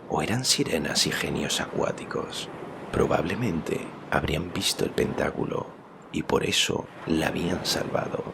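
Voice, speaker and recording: A man narrating a scripted fantasy story as an audiobook, with a measured, suspenseful delivery and a gravelly voice. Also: extremely low pitch, background noise. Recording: music behind the voice.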